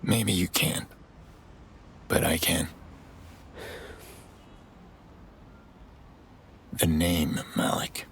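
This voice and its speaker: An actor playing a haggard, blunt hacker outlaw with a gravelly voice and terse delivery, in a video game cutscene. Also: male voice, low-pitched, steady tone, takes it slowly, fairly bright tone, slight background noise, exaggerated voice. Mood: resolute.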